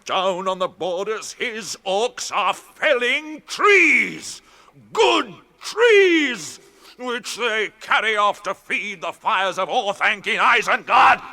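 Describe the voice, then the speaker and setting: An actor playing an ancient, kindly tree-giant in a British radio drama, ponderous and musing, with old-fashioned phrasing and a temper that can be stirred. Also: male voice, sits high, very animated, slow, pushed and bright, exaggerated voice. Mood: bitter, wrathful.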